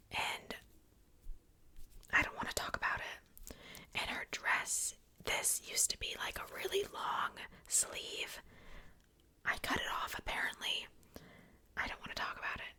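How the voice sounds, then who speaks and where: A woman speaking in a breathy, unvoiced whisper in a casual ASMR show-and-tell style, hushed and informal. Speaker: ASMR video creator.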